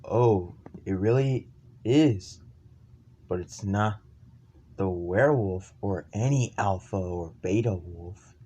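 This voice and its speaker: A man improvising an amateur campfire horror story in a halting, ominous manner. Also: deep voice, mellow, breathy edge. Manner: halting, ominous, stumbling over phrases.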